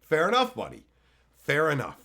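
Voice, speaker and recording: Male streamer, hoarse with a cold, loose and foul-mouthed, reading chat aloud. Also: a little high, wildly swooping pitch, normal speed, sharp tone. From Canada. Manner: loose, swears freely, answers chat by name. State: hoarse from being sick. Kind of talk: casual live-stream chat.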